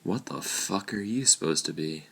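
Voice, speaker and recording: A man voices a mocking street thug in a comic dub. The voice is low and husky, and he jeers in a laid-back, foul-mouthed way. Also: expressive, moderate pace, a bit bright, exaggerated voice.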